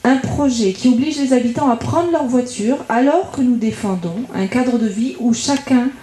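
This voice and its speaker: Echoey, mellow higher-pitched woman giving a political speech. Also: moderate pace. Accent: French from France. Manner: argumentative, methodical, enumerating points. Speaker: local political campaigner speaking at a debate. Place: indoor meeting room at public debate. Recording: echoey room at public meeting.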